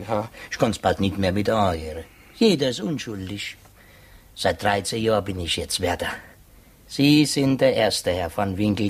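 An actor playing a talkative, gullible middle-aged prison warder in a 1950s radio play, eagerly curious and chatty. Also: male voice, on the low side, slightly rough. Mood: admiring.

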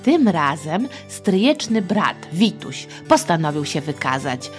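A middle-aged woman hosting a children's history podcast, telling a story in a playful, colloquial, expressive way. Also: sits low, unhurried, soft background music. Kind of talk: informal scripted storytelling for children.

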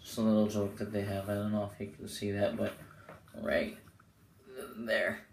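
A young man with a hoarse voice giving a casual, rambling product review to camera as the host. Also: fairly low pitch, flat delivery, normal speed. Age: young adult. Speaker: host of playing card deck reviews. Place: small bedroom, indoors. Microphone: camera mic a short way off. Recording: roomy echo, clear background noise.

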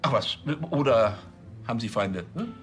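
Stammering, self-important police chief. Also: male voice, lowish voice, big pitch swings, moderate pace, soft background music. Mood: officious, reassuring. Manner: stammering, officious, over-polite. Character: flustered, self-important senior police officer.

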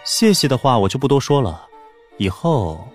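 A man's voice: medium pitch, sing-song, normal speed, lightly acted. A man playing a courteous, crafty young wizard in an audio drama. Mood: pleased. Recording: music behind the voice.

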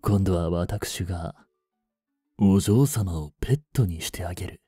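A man playing a suave, greedy young butler in a romance audio drama, polite and softly menacing in an unhurried way. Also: low voice, slightly rough, no room sound, studio quality, soft background music. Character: suave, greedy young male butler turned possessive betrayer.

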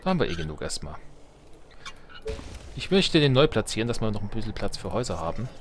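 A man with a fairly low voice hosts a casual let's play. He talks to himself as he thinks through his moves, and there is clear background noise from the game under his voice. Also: very animated, fast talker. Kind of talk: casual gaming commentary.

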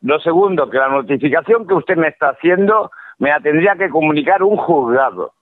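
A man's voice: on the high side, animated, a little fast, no echo. A middle-aged man with a Spanish accent talking bluntly on a phone call, often repeating himself. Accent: Spain. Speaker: prank call victim. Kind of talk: irritated phone conversation. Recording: tinny phone line.

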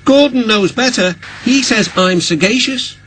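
A man's voice: very high-pitched, moderate pace, background noise, pitched above the performer's own voice. A man voicing a proud, easily huffy young male steam engine in a children's storybook. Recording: music behind the voice.

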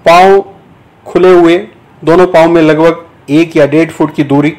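A man giving guided yoga nidra instruction as a teacher, in measured, deliberate short phrases with pauses between them. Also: fairly high pitch, level tone, a little mellow, rough recording. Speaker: yoga teacher guiding a meditation.

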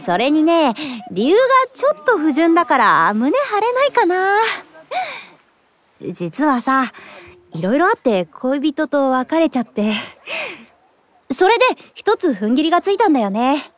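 An actress playing a friendly young woman teacher in an anime audio drama, with an expressive, airy way of talking. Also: high-pitched, measured pace, exaggerated voice. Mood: cheerful.

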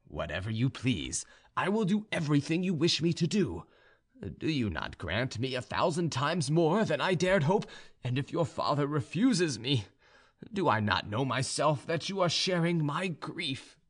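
A man's voice: medium pitch, big pitch swings, measured pace, a bit bright, breathy edge, heavily acted. Man playing an ardent, pleading young officer. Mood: humble, devoted. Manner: earnest, pleading, deferential. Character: ardent, humble young male officer hopelessly in love. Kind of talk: audiobook character dialogue.

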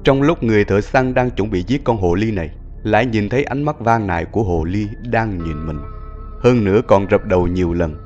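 Male narrator for animated tale, lower register, slightly dull sound with music underneath. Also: brisk. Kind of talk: scripted storytelling narration for animated film. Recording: muffled audio under music.

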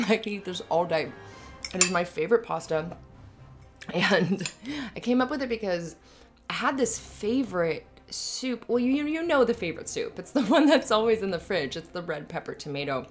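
A woman playing an affectionate, giggly young roommate and girlfriend in an intimate roleplay audio, talking in a halting, rambling, conversational way. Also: mid-range voice, animated, faint music behind, close to an everyday voice. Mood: giggly, enthusiastic.

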